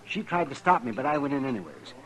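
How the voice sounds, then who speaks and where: An actor playing a fussy, excitable older American doctor in a 1950s radio western, with a folksy, hesitant way of talking. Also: male voice, medium pitch, fast, natural voice, rough recording. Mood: worried, urgent. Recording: old radio recording with crowd din.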